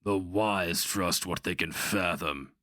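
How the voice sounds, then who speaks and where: A man's voice: low-pitched, takes it slowly, pushed and bright, no echo, studio quality, heavily acted. A man voicing a fierce, proud, sullen barbarian warrior in a dramatic fantasy reading, with a grating snarl. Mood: surly.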